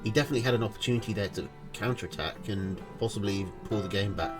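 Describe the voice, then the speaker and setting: A man's voice: lowish voice, fast, soft background music. A man with a nasal voice interviewing a player casually, tired and rambling. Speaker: stream interviewer, caster. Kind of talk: casual post-match interview.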